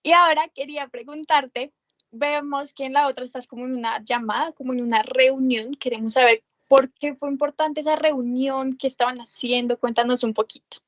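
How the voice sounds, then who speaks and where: A woman with a high voice interviews a guest and heaps on warm praise between questions. The sound is dry and a little muffled. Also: fairly even intonation, normal speed, no echo. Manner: effusive, gushing praise.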